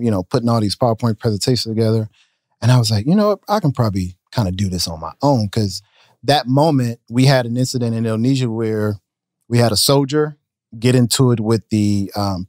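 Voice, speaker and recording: A man in his thirties, a business owner telling stories in a casual podcast interview, relaxed and talking with his hands. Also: lowish voice, no room sound. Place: podcast studio, indoors. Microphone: studio mic on a boom arm. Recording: dry close studio sound.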